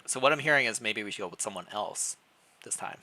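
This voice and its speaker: A man hosting a livestream, switching between casual, playful chat and reading fan fiction narration aloud, often thinking out loud. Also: lowish voice, lively intonation, fast.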